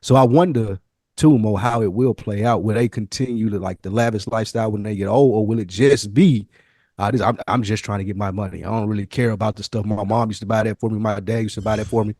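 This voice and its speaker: A man's voice: fairly low pitch, steady tone, fast talker, a little mellow, no room sound. Slangy male podcast co-host, dry close sound. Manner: slangy, profane, opinionated storytelling. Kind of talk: casual podcast chat.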